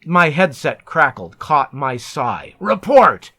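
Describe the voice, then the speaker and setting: A man reciting narrative poetry in a deliberate, measured, theatrical way, as a poet reading his own work. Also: medium pitch, animated, a bit bright.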